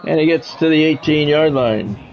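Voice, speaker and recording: A man's voice: a little high, normal speed, vocal fry, muffled recording. A middle-aged man giving live play-by-play of a football video game, mixed with offhand, conversational chat and casual swearing.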